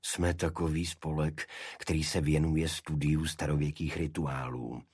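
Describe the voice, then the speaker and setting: A man voicing a small, dignified aristocratic cult leader in an audiobook, with a deep voice and a monotone, chant-like delivery. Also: breathy edge, no echo, studio quality. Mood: proud, pleased. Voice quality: unexpectedly deep. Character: small energetic nobleman, cult leader, dignified, secretive zealot.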